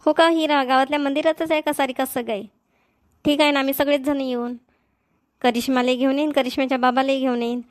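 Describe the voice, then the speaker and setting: A woman's voice: high voice, flat delivery, fast, no room sound, studio quality, close to an everyday voice. A woman playing a friendly, inquisitive village neighbour in a cartoon comedy, chatting in Vidarbha Marathi. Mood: curious, agreeable. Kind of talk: amateur cartoon comedy dialogue.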